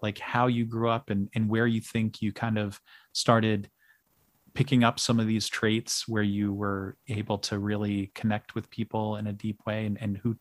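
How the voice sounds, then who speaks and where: A man's voice: lowish voice, no room sound. Rambling male host in a dry room. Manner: rambling, searching questions with many fillers. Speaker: podcast host. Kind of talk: conversational interview.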